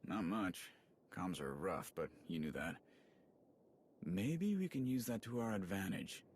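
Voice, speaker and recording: Casting: friendly sci-fi game slicer, chatty and wry, animated delivery on the lower side. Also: male voice, measured pace, slightly rough, exaggerated voice. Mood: thoughtful. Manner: casual, wry, rambling with asides. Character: eager, chatty male soldier and tech slicer, friendly and quippy. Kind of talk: video game cutscene acting.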